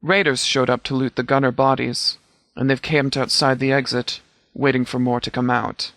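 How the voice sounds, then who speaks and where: An actor playing a stern, composed sheriff of a post-apocalyptic militia in a video game, speaking in a measured, formal, authoritative way with clipped orders. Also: male voice, medium pitch, little pitch movement, fairly bright tone, dry recording, natural voice. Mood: commanding, grave. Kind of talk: scripted video game dialogue.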